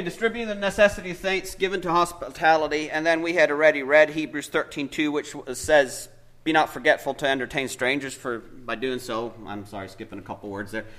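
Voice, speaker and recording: A man's voice: a little high, pushed and bright. A man preaching an informal Bible teaching sermon in a church, conversational and hesitant, thinking aloud with many fillers.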